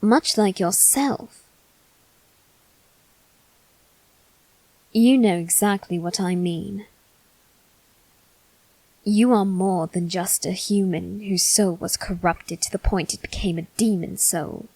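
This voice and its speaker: A woman's voice: medium pitch, measured pace, dark tone, husky, dry recording, poor audio. A woman voicing a haughty, bitter young noble boy in an ASMR roleplay, speaking formally and with cold condescension. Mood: scornful, measured. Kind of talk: ASMR character roleplay, scripted monologue.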